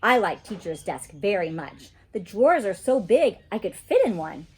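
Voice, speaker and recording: A woman reading a children's story aloud in an animated, childlike storytelling manner. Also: medium pitch, normal speed, breathy edge.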